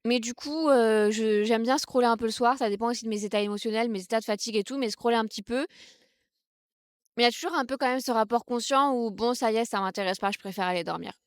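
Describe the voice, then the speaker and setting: A young woman from Brittany, France, talking casually outdoors on a vlog and musing with many pauses and restarts. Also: on the high side, clear, resonant tone, dry recording, clean studio sound. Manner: thoughtful, hesitant, many fillers and restarts. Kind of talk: casual reflective vlog talk. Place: outdoors in the countryside, seated on a wooden stool.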